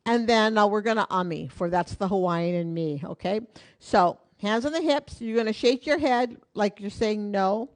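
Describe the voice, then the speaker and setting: A woman's voice: mid-range voice, moderate pace. A woman teaching a live online hula class from her home in Hawaii, counting beats and talking through each move in a friendly, encouraging way.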